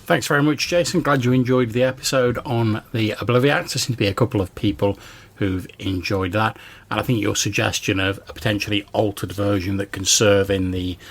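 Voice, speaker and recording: Man, podcast host, low pitch, gravelly fry, slightly raspy, conversational commentary. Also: fairly even intonation, fairly quick.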